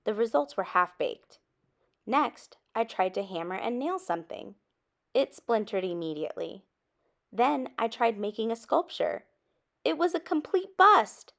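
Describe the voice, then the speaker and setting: A woman reading a children's story aloud expressively, with dramatic emphasis and drawn-out words. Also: a little high, creaky. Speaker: storybook reader.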